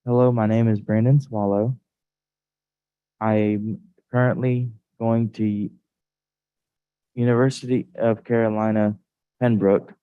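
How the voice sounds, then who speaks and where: A young man, a university student, gives a short, halting thank-you speech at a convention. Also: on the low side, little pitch movement, smooth, dry recording, phone-quality sound. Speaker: scholarship winner, student.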